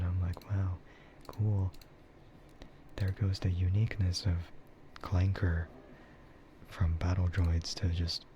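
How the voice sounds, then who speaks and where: A man streaming a casual, rambling ASMR chat in a soft, breathy voice that often slips into a whisper. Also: sits low, muffled recording, background noise. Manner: rambling, laid-back. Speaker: streamer and VTuber host.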